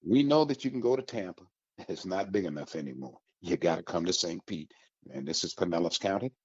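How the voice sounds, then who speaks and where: Male radio host, low and raspy, emphatic promo delivery speaking directly to listeners, muffled recording. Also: animated, fairly quick, a little mellow. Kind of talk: upbeat radio promo talk. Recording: dull, slightly lo-fi audio.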